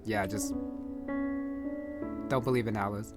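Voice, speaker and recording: A man's voice: fairly low pitch, steady tone, a little slow, over loud music. A man giving casual gameplay commentary in an offhand way. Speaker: gaming commentator.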